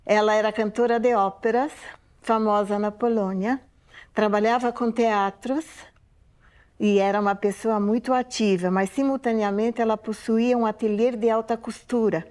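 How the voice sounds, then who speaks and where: Older woman, slightly high, reflective. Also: fairly even intonation, a little mellow. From Poland. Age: 80s. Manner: reflective, unhurried, with frequent tag questions. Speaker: museum oral-history interviewee. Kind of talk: unscripted spoken testimony.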